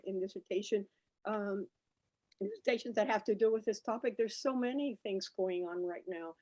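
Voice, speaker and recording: A woman's voice: medium pitch, darkish tone, rough recording. A woman in her sixties from Cuba, a native Spanish speaker, telling personal stories as a panelist in a conversational way. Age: 60s. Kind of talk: reminiscing panel talk.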